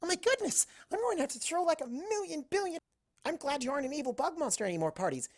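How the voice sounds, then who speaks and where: Lady doing a giddy hyper pony girl from a story, super high n bubbly, exaggerated gushing. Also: big pitch swings, fast talker. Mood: giddy excitement.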